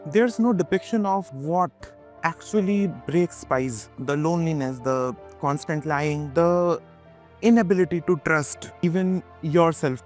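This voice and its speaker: Male video essayist, Indian accent, fairly high register; scripted opinion read with emphatic repetition and dramatic pauses, light music bed. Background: background music.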